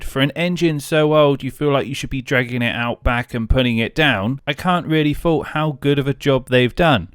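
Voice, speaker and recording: Higher-pitched British man, clean and crisp. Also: fairly bright tone, no room sound, clean studio sound. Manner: chatty, blunt, informal. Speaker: host of a gaming commentary video. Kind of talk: casual opinion commentary.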